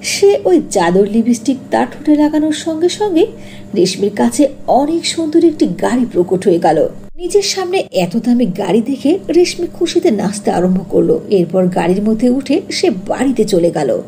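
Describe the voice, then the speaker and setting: Lively high-voiced female fairy-tale narrator. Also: normal speed, a little hiss or hum.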